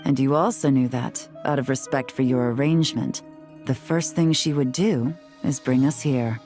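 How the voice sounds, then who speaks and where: A sly female spy with a notably low voice. She sounds self-assured, cool and deliberate, like a video game character, with faint music behind. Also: warm tone. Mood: serious, assured.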